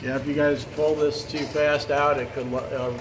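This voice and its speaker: Plumber explaining drain work, flat voice. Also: male voice, a little high, moderate pace, noisy background. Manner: relaxed, explanatory, trails off with fillers. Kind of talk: casual how-to commentary while working. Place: basement utility area, indoors.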